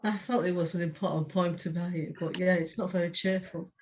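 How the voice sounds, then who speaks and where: A woman's voice: on the low side, steady tone, fairly quick, darkish tone, slight background noise. A woman, speaking plainly as a podcast co-host who chats and reads her poetry. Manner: brief, plain-spoken. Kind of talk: casual podcast chat and poetry reading.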